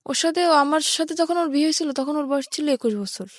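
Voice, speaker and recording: High-voiced Bangladeshi woman telling her story. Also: fairly quick, no echo, clean studio sound. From rural Bangladesh. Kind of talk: personal life-story narration in a radio interview.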